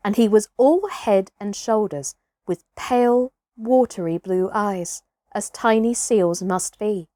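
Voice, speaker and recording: A woman reading a children's story aloud as an expressive scripted narration. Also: medium pitch, no room sound, studio quality. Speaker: storybook reader, actress.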